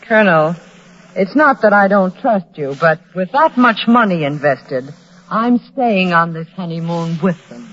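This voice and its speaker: A woman's voice: on the low side, lively intonation, unhurried, background noise. Domineering, brusque middle-aged crook mother. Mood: firm, businesslike. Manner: brusque, commanding. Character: domineering, shrewd crook mother ordering young couple around. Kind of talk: 1940s radio crime drama acting. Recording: dull old-time radio recording.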